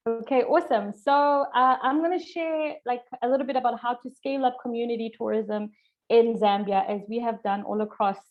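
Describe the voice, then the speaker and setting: A woman's voice: on the high side, steady tone, brisk. A woman from Zimbabwe, a programs manager, giving an upbeat, chatty webinar presentation with lots of fillers. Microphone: video call. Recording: dull lo-fi video call audio.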